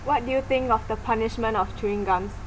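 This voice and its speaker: A woman's voice: fairly high pitch, fast talker, a loud crowd, rough recording. A woman reading out prepared questions as a student street interviewer. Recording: noticeable background noise.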